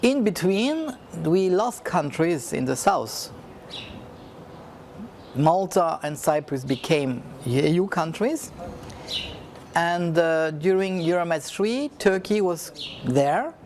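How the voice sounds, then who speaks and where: Male program coordinator, reflective and halting delivery, somewhat high-pitched, faint noise in the recording. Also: expressive, a little mellow. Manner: halting, non-native phrasing with many fillers. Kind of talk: reflective speech.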